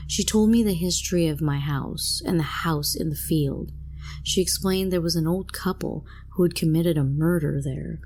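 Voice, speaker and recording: A woman's voice: sits low, normal speed, a little mellow. A woman reading a scripted first-person horror story as a narrator.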